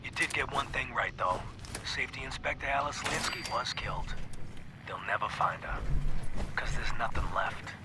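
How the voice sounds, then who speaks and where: An actor playing a cold, smooth-talking male mob boss, dictating recorded messages in a video game. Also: medium pitch, moderate pace, natural voice. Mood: sardonic. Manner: calm, sardonic gangster drawl. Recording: noisy game audio capture.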